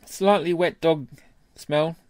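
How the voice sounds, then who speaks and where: A man's voice: on the high side, fairly even intonation, slow pace, darkish tone, no room sound. A British man co-hosting a podcast, speaking in a casual, conversational way. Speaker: podcast co-host. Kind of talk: casual beer podcast chat.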